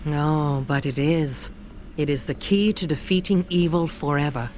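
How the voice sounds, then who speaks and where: A woman's voice: low voice, measured pace, darkish tone, slight background noise. An actress playing a scheming witch mother in a video game cutscene, solemn and persuasive.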